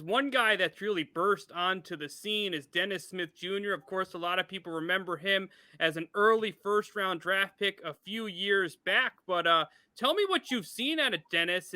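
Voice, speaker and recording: High, very bright-voiced male interviewer. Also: no echo. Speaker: sports show host, interviewer. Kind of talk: conversational interviewing.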